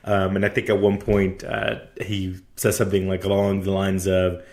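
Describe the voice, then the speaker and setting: A man hosting an interview, talking in a halting way with many fillers. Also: deep voice, completely flat delivery, a little mellow. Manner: halting, many ums and you-knows. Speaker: interview host. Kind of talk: casual interview talk.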